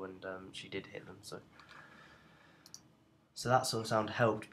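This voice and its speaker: A young man with a low-pitched, noticeably creaky voice talks through a software tutorial informally. He explains haltingly, feeling his way through each point, over faint background noise. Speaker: student tutorial presenter.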